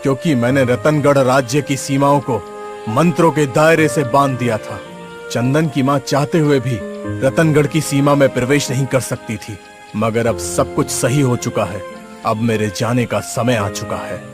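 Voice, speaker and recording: A man's voice: medium pitch, moderate pace, natural voice. A man as a solemn sage over music. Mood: calm, knowing. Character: wise, mysterious male holy sage, solemnly commanding. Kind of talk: animated story character acting.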